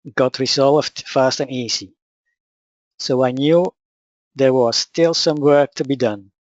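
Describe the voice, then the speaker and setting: A man with a Dutch accent speaks in a calm, measured, matter-of-fact way, his first language clearly Dutch. His voice sits at an ordinary middle pitch. The recording is dry and a little dull, as if made on modest equipment. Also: no room sound. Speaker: spiritual teacher. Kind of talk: personal account and teaching talk.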